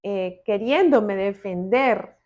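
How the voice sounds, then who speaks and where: Unhurried Colombian woman, reflective. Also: medium pitch, darkish tone, highs cut off. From Bogotá, Colombia. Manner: deliberate, reflective, with pauses. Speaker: podcast guest. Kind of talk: reflective personal interview talk.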